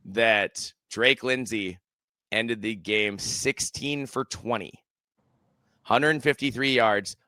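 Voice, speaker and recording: A man in his thirties co-hosting a sports podcast from a room at home on a headset mic, his voice strained from screaming at a game, excitedly rattling off stats. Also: mid-range voice, clean tone, dry recording. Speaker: podcast co-host. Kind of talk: casual sports chat. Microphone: headset with studio mic.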